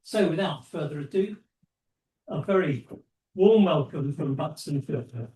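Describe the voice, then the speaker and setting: Slow, distant man introducing a speaker. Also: fairly high pitch, animated, warm tone. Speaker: club host introducing speaker. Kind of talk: prepared introduction. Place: lecture room. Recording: distant and echoey.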